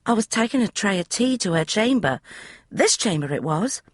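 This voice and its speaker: A woman's voice: medium pitch, expressive, normal speed, no echo, studio quality, voice pushed up, lightly acted. A woman voicing a young, flustered housemaid who gossips in broad, common speech. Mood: gossipy.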